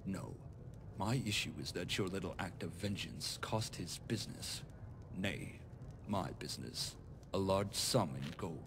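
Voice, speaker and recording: An actor playing a cold, calculating nobleman in a video game, speaking in a formal, measured, condescending way. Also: low-pitched, noisy background. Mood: stern, haughty. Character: calculating noble bastard son demanding repayment, cold and composed. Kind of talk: video game mod voice acting.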